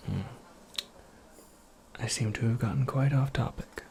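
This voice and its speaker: A performer voicing an eccentric, absent-minded witch in a breathy, creaky ASMR roleplay, rambling with wry, cryptic politeness. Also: mid-range voice, unhurried, a little mellow, slight background noise. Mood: musing, cryptic. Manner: rambling, whimsical, politely formal, self-correcting. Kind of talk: soft-spoken ASMR roleplay monologue.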